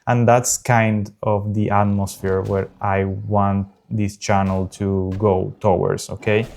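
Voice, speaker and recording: Gaming host, man in his thirties, South American Spanish accent, lowish flat voice, earnest and unpolished. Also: creaky. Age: early 30s. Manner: earnest, unpolished, frequent pauses. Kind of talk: casual talk over gameplay.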